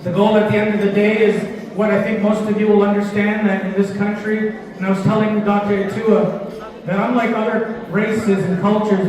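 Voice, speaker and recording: A man from Sri Lanka representing an event company, giving an upbeat promotional pitch to a conference audience. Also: a little mellow. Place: large conference hall. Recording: echoey hall, distant mic, crowd noise. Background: crowd chatter.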